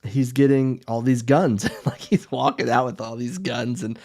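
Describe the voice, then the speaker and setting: A man with a mid-pitched voice talks as a podcast co-host. His delivery is casual and conversational, with an informal, slightly halting flow. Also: fairly even intonation, gravelly, darkish tone. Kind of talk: casual chat.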